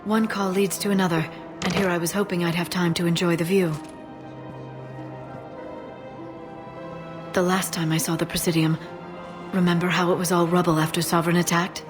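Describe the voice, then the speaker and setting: Casting: woman playing composed young alien intel broker, sci-fi game, earnest, slightly low voice, music loud behind. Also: little pitch movement, fast, lightly acted. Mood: relieved, gentle. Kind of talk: scripted game dialogue.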